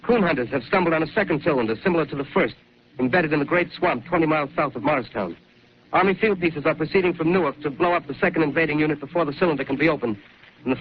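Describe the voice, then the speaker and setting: A radio news announcer, played by an actor, rattles through formal war bulletins at great speed. His voice sits a little high. The old 1930s broadcast sounds dull, with a faint hiss. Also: close to an everyday voice. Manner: rapid formal bulletin reading. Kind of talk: 1930s radio drama acting.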